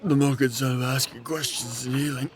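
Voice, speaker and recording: An actor plays an ailing refugee man in a dark fantasy audio drama. He sounds weary, sick and bitter, speaking at an ordinary male pitch. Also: fairly even intonation, a little fast, natural voice. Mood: bitter, complaining. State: sick, coughing.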